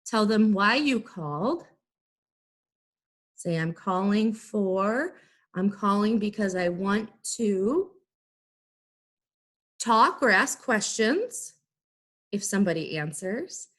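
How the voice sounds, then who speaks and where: A woman teacher giving an instructional lesson, explaining things in clear, simple, step-by-step phrases. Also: medium pitch, lively intonation, slow pace, pauses often, a bit bright. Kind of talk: instructional video lesson for students.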